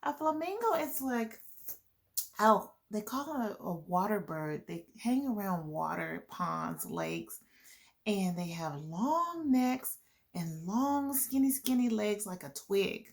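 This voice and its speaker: Slow, animated woman reading to children. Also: medium pitch, vocal fry. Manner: deliberate, explanatory, addressing young children. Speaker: children's storytime host and reader. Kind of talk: animated picture-book read-aloud for children.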